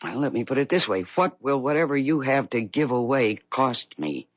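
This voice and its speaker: An actress playing a blunt, bitter middle-aged wife, world-weary and dry, in a 1970s radio drama. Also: female voice, a bass voice, big pitch swings, moderate pace, no room sound, heavily acted. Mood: puzzled, wary. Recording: dull 1970s radio broadcast recording.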